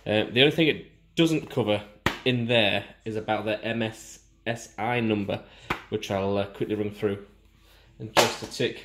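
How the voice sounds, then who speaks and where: A man's voice: fairly low pitch, moderate pace, background noise. A British man informally explaining and showing items in a casual unboxing review. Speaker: product reviewer.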